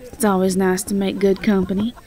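A woman's voice: medium pitch, little pitch movement, a little fast, lightly acted. A woman playing a sweet, folksy Southern farm belle with a Southern US accent in an audio roleplay. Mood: sweet, friendly. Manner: folksy, gentle, hesitant. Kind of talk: fantasy audio roleplay acting.

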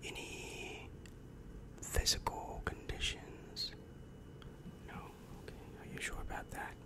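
A young man in a whispered, breathy ASMR roleplay as a demon counselor, asking questions softly very close to the microphone. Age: young adult. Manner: slow, measured, soft questioning. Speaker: ASMR performer. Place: small dim room indoors. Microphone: large microphone very close to the mouth.

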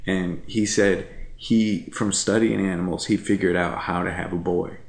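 A man's voice: low-pitched, fairly even intonation, moderate pace, gravelly, reverberant. A man in his thirties chatting casually on a couch at home, rambling and repeating himself with lots of fillers. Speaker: vlogger. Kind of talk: casual chat. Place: living room couch at night.

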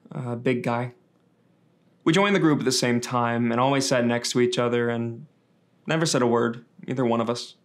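A young man delivers a first-person testimonial as a read monologue. His voice sits at a middle pitch. He tells it conversationally, with small hesitations. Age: young adult. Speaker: reader of a survivor's story. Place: indoors against a dark backdrop.

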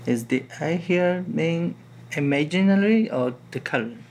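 A young man playing a polite student who speaks quietly and haltingly, with some room noise behind him. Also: on the high side, animated. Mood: polite, hesitant. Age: young adult. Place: classroom lab, indoors.

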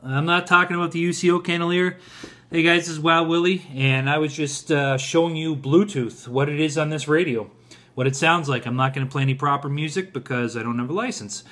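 A man's voice: a little high, fairly even intonation, a little fast, pushed and bright. Casual man reviewing a product. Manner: informal, addresses viewers as guys. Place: indoors in a home kitchen.

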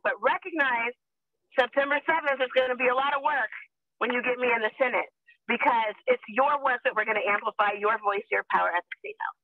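A woman in her forties makes an impassioned campaign pitch over a video call. Her voice comes through a thin, phone-like line with no room sound. She speaks earnestly, pressing each point home. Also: no echo. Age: 40s. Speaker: political candidate. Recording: tinny phone-like call audio.

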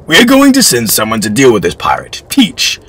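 A man reading aloud, voicing a scheming older English lord who speaks slowly and coldly. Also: wildly swooping pitch, bright, forceful tone, slightly rough, full character voice. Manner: slow, low and cold.

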